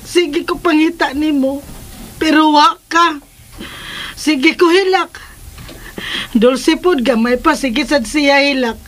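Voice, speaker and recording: A middle-aged mother with the mind of a child, in a very high voice. Her phrasing is slow and simple, clingy and naive, in Philippine radio drama style. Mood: tearful, complaining.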